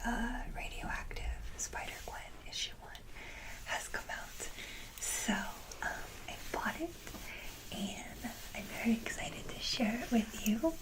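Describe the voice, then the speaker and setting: Woman, breathy whisper, slow pace, short halting phrases, gentle casual ASMR show-and-tell. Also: on the high side, rough recording. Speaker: ASMR video host.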